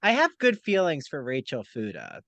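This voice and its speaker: Lively, bright-voiced male podcast host. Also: medium pitch, moderate pace, gravelly, dry recording. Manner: playful, animated interviewer. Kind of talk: casual chat.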